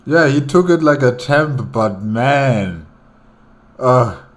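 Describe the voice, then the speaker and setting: A man's voice: mid-range voice, lively intonation, takes it slowly. A man hosting a reaction video, commenting casually in informal, exclamatory bursts with hesitant phrasing.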